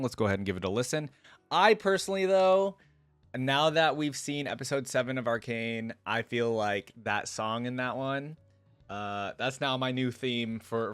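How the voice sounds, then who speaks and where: Casual man reacting over loud music. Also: medium pitch, no room sound. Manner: slangy, filler-heavy musing aloud. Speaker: reaction video host. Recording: music playing behind voice. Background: loud game music.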